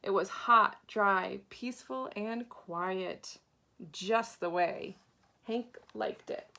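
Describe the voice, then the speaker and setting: Woman, storybook read-aloud, medium pitch, expressive delivery, dramatic pauses. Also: creaky. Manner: deliberate dramatic pauses, acts out characters. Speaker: storybook reader, teacher. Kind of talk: expressive children's picture book read-aloud.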